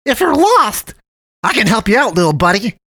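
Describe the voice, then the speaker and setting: A man's voice: sits high, sing-song, moderate pace, vocal fry, bright, forceful tone, no room sound, studio quality, pitched above the performer's own voice, full character voice. A man voicing a jolly, chubby male cat man who lives in a dump, talking in a purring, folksy drawl. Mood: friendly, jolly. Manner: sweetly cajoling, theatrical.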